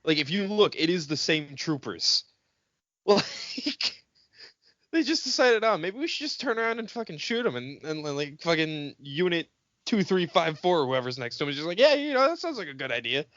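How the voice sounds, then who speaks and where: Animated, higher-voiced man on a dry podcast. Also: moderate pace, no echo, muffled recording. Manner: sarcastic, profane, mimics lines mockingly. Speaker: podcast co-host. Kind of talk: casual banter about film.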